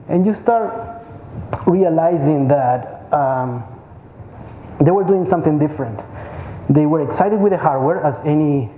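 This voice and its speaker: A man's voice: on the high side, dark tone, lo-fi sound, slight background noise. A man, giving an informal conference talk and often repeating words as he searches for them. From Peru. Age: 30s. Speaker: conference speaker. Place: large conference hall. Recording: echoey hall with faint ambience.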